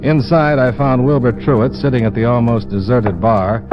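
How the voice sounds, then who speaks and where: An actor playing a cool, wry insurance investigator, clipped and businesslike, in a 1950s radio drama. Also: male voice, mid-range voice, steady tone, normal speed, close to an everyday voice. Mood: brisk narration. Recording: muffled vintage radio recording with music.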